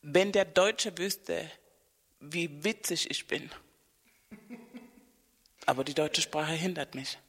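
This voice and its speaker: A woman co-hosting a radio chat, telling anecdotes in a lively, casual way. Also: sits low, takes it slowly, pauses often, in a large hall. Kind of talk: casual conversation, storytelling.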